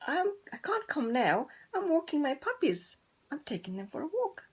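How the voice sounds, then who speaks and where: A friendly, busy storybook mother dog, performed by a woman, raised in pitch and lively. The delivery is bouncy and emphatic. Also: moderate pace. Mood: cheerful, busy. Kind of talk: children's storybook character voice.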